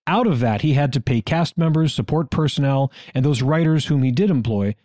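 Male podcast co-host, American, mid-pitched, mellow and close-sounding, delivering scripted historical narration. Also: brisk, no echo.

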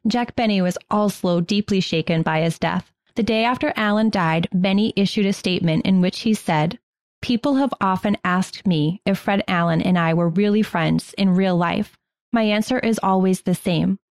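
Female podcast co-host, American, medium pitch, scripted historical storytelling in a dry, close recording. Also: moderate pace, a little mellow, no echo.

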